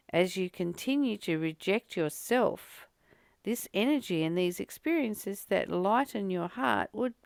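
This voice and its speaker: A woman giving a contemplative spiritual reading with informal personal commentary, pausing and repeating phrases as she goes. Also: mid-range voice, creaky, clear, resonant tone, dry recording. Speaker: host of a spiritual reading and study series.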